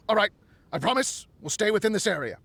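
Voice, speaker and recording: A man's voice: sits high, big pitch swings, brisk, vocal fry, fairly bright tone, no room sound, full character voice. A man voicing an irritable, defensive inventor and grudging minor villain in a video game, impatient and sighing.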